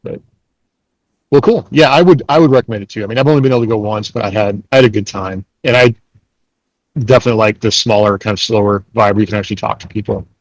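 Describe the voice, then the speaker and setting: A man's voice: on the low side, fast, no room sound, poor audio. A man chatting casually as a podcast host.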